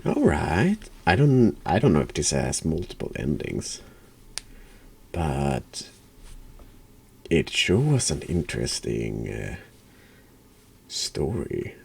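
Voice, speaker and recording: Very deep, creaky man, slow and halting. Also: huge pitch swings, a little mellow. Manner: halting, hesitant, word-repeating. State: has a cold. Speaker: streamer and horror game reviewer. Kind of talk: casual game commentary.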